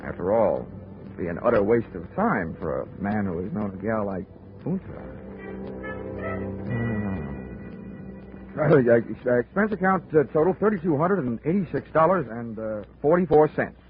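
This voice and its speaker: Wry 1940s radio gumshoe, lively inflection, tinny broadcast sound, music faint behind. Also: male voice, mid-range voice, measured pace. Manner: wry, dry asides, hesitant fillers. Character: wisecracking male insurance investigator, adult. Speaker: narrator of own case. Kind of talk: 1940s radio drama narration.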